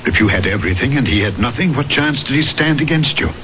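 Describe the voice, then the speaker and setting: An actor playing an earnest, troubled gentleman who tells a macabre mystery in formal, deliberate radio drama style. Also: mid-range voice, animated, slight background noise. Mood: shocked, probing. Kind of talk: dramatic first-person radio narration and acting. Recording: muffled old radio broadcast, loud music.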